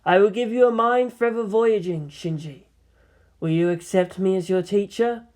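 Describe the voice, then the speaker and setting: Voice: mid-range voice, a little slow, a little mellow, natural voice. A narrator voicing a proud female alien seer, commanding, solemn and cryptic as a mentor.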